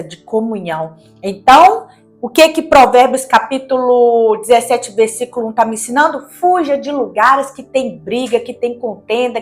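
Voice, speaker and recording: A woman with a Brazilian accent from Minas Gerais gives a devotional Bible teaching, reading and explaining in a didactic, conversational way with rhetorical questions. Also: a little high. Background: background music.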